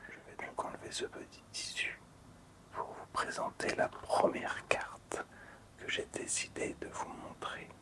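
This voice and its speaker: A man whispers breathily as an ASMR host presenting collectibles, lingering and repeating words softly. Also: unhurried.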